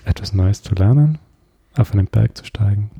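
A man's voice: lowish voice, moderate pace, creaky, dark tone, husky. A man with an Austrian accent chatting casually as an interview guest, thinking aloud and often searching for his words. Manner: hesitant, reflective, searching for words.

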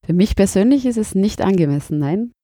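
Austrian woman in her thirties, teacher, measured and candid, dry close mic. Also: medium pitch, expressive, warm tone, no echo. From Burgenland, Austria. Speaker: teacher, interviewee. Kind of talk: interview answers.

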